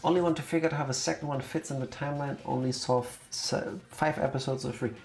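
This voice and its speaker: A man with a German accent co-hosting a game stream, making dry, deadpan jokes. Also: medium pitch, fast. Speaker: let's play co-host. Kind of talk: casual gaming stream chat. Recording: roomy echo with music behind.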